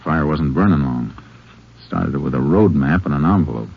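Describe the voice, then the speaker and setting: A really deep american texas ranger, calm n matter-of-fact, old noisy radio western recording. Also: male voice, normal speed, gravelly, phone-quality sound. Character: calm, methodical Texas Ranger investigator and narrator. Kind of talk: 1950s radio western acting and narration.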